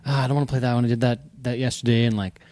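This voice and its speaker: A man's voice: mid-range voice, fairly even intonation, racing, warm tone. A man hosting an interview in a loose, informal way, rambling a little as he asks his questions. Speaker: podcast host, former bull rider. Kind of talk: casual interview chat.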